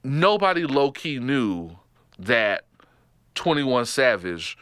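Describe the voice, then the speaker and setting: An animated man with a Texas accent co-hosts a podcast. His voice is bright and forward, with lively swings in pitch, and he jokes his way through the talk and restarts his sentences. He sits close to a dry studio mic. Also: slow, clear, resonant tone, no echo. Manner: animated, joking, laughs often, trails off and restarts. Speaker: podcast co-host. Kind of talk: casual comedic chat.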